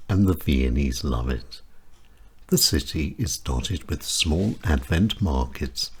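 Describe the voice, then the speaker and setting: A man with a deep, slightly husky voice tells his own short stories for radio. He takes his time over each phrase, and his pitch rises and falls widely as he reads. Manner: measured, expressive delivery with wide swings in pitch. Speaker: storyteller and radio show presenter. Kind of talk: scripted short-story reading.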